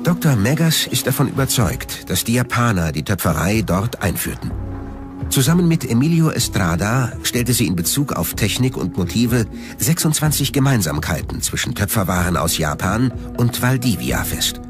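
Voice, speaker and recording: A man narrating a scripted documentary in a measured, factual manner, recorded as a studio voice-over. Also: mid-range voice, big pitch swings. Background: background music.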